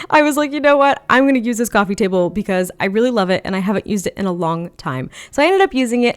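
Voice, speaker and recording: A woman giving a chatty voiceover for a home-building video, slightly hoarse and a little raspy, laughing often between rambling asides. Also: mid-range voice. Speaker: Sims build video creator. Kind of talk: casual voiceover commentary.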